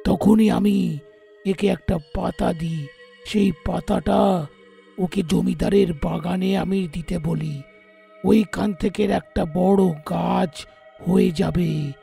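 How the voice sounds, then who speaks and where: An actor playing a sinister, power-hungry sorcerer in a horror story, gloating in a gravelly voice. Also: male voice, high voice, warm tone, exaggerated voice. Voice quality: gravelly, creaky. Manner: slow, deliberate, gloating.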